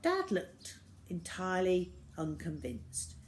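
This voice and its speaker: Slow-paced woman storyteller. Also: lowish voice, wildly swooping pitch, a little hiss or hum. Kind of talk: expressive children's audiobook-style reading.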